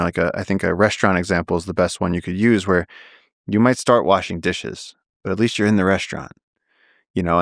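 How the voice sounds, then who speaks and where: A man's voice: low voice, no room sound, clean studio sound. A man speaking as an interview guest, telling his story earnestly and with animation, often restarting his sentences. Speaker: guest, naturalist and explorer. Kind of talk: conversational storytelling.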